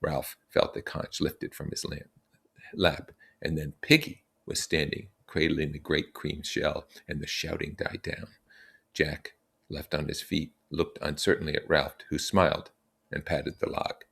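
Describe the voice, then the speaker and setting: A man reading a story aloud and explaining it with casual, expressive comments. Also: extremely low pitch, a little slow, gravelly, husky. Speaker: reader and teacher explaining a novel.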